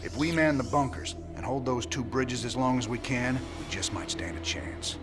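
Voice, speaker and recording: An actor playing a weary, determined outlaw commander in a video game, terse and rugged. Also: male voice, mid-range voice, expressive, moderate pace, natural voice. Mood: grim, urgent. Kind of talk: video game cutscene acting. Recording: loud music behind the voice.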